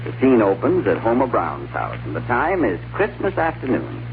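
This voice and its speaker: A man announcing a holiday radio show in a formal, lively 1940s style, on a tinny old radio broadcast. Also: mid-range voice, normal speed, gravelly, noisy background.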